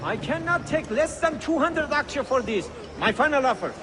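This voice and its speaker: A man's voice: very high voice, animated, moderate pace, noisy background. An actor playing an effusive, theatrical Ottoman rug merchant in a video game, haggling dramatically. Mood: wheedling, indignant. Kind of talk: video game character acting.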